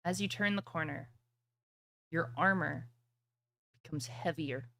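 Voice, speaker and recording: A woman running a fantasy tabletop game as game master, narrating in a dramatic, deliberate storytelling manner. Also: sits low, creaky, a bit bright, no echo.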